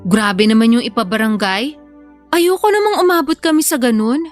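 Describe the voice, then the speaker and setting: A woman playing a soft-hearted young single mother with a hesitant, apologetic manner in an audio drama. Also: a little high, normal speed, faint music behind, natural voice. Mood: unsure, defensive.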